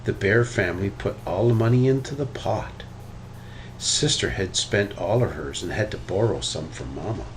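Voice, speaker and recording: A man reading a children's story aloud with expressive, animated delivery. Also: deep voice, moderate pace, slight background noise, poor audio. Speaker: storybook reader.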